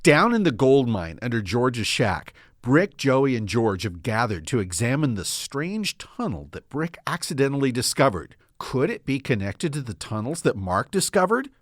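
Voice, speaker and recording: A man's voice: mid-range voice, big pitch swings, normal speed, hardly any pauses, dry recording, studio quality. A man narrating and announcing a radio drama serial in a scripted storytelling style.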